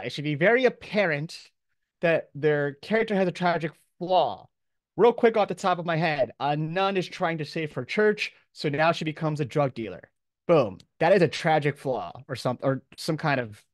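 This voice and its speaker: Casual male lecturer, slightly high voice. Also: dry recording, clean studio sound. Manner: casual, off-the-cuff, conversational. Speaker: professor, lecturer. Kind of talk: informal classroom lecture.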